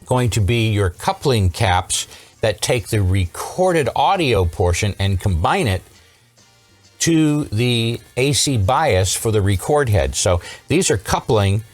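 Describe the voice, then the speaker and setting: A man's voice: fairly low pitch, lively intonation, slow pace, soft background music. A man giving a casual hands-on repair tutorial, explaining and thinking aloud as he goes. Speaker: vintage electronics repair host.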